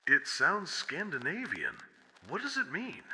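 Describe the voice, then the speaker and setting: Man acting a slow, probing therapist, reverberant. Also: a little high, wildly swooping pitch, pushed and bright, exaggerated voice. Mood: measured, clinical. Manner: deliberate, clinical questioning. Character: probing, measured male therapist. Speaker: voice actor. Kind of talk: dramatic audio horror acting. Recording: long reverb with faint hiss and clicks.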